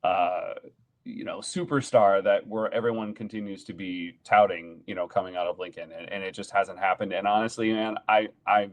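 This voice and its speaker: A man's voice: on the low side, steady tone, moderate pace. A man in his thirties co-hosting a sports podcast from home over a desk mic, giving his blunt opinions in a loose, rambling way. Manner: opinionated, lots of fillers and restarts. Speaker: podcast co-host. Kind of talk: casual sports chat. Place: home room, indoors.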